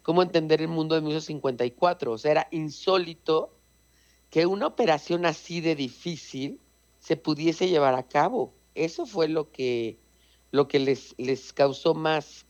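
A Mexican man with a Mexican Spanish accent and a fairly high voice, chatting casually as he tells a story. He explains with asides and repeats his words. The sound is dull and lo-fi. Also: moderate pace. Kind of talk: casual chat, reading an old news clipping aloud.

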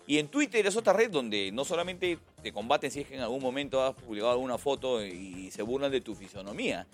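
A man's voice: medium pitch, expressive, fast talker, soft background music. A man co-hosting a morning show, chatting casually and voicing opinions in a colloquial way. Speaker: co-host. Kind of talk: casual chat.

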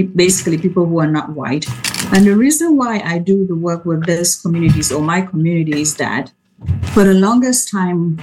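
A soft-spoken woman with a Cameroonian accent, an academic guest speaking in a remote radio interview. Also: lowish voice, rough recording. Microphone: video call. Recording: remote call with faint noise.

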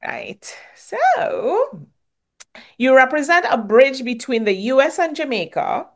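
A woman's voice: high voice, wildly swooping pitch. Chatty Trinidadian woman interviewer. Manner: chatty, many fillers and quick "yeah, yeah" affirmations. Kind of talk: casual interview.

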